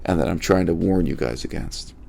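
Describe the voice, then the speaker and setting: Deep gravelly man lecturing viewers. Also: a little fast, dark tone. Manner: emphatic, repeats key words for stress; addresses viewers directly, asks rhetorical questions. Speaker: channel host. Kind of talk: unscripted philosophical monologue to camera.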